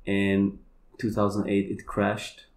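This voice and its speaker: A man with a Polish accent, a native Polish speaker, talking in a halting, searching way as a podcast guest. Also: on the low side, monotone, a little hiss or hum. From Poland. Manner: halting, searching for words, many fillers. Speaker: kambo practitioner guest. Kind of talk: casual conversation.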